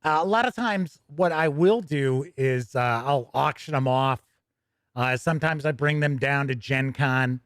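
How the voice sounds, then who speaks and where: A man's voice: medium pitch, a little slow, fairly bright tone, no room sound, studio quality. A man hosting a tabletop gaming news show, mixing emphatic reading of copy with chatty, self-teasing asides. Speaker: host.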